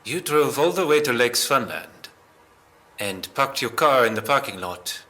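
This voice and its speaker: A man puts on a skeptical male police officer for an audio drama. His voice is bright and forceful at an average pitch. He questions briskly and pointedly. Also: lively intonation. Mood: skeptical, probing.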